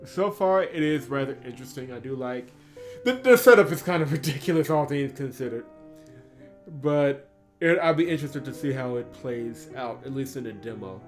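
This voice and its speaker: A man's voice: medium pitch, moderate pace. Man casually commentating over game music. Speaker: gaming video host. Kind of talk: casual game commentary and read-aloud of visual novel dialogue.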